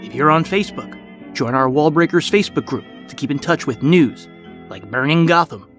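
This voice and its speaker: A man hosting a podcast with scripted documentary narration in a measured, clearly phrased announcer delivery. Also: fairly high pitch. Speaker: podcast host.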